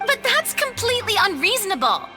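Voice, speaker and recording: A woman's voice: very high-pitched, very animated, fairly quick, over loud music. An actress playing an earnest, watchful young woman adventurer in a fantasy game, with a questioning manner. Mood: surprised.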